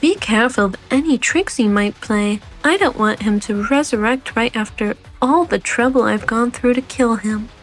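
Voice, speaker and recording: A taunting female assassin with a fairly high voice, acted by a woman. She drawls with teasing, mock-sweet confidence, as in a game drama. Music runs beneath her. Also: normal speed, few pauses, rough recording. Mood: amused menace. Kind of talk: fan-dub game drama acting. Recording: background music under the voice.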